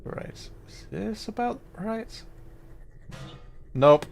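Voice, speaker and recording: A man's voice: high-pitched, lively intonation, very slow, creaky, soft background music. A man giving casual, playful livestream gameplay commentary, self-deprecating and thinking aloud in repeated little phrases. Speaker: livestreamer playing a puzzle game.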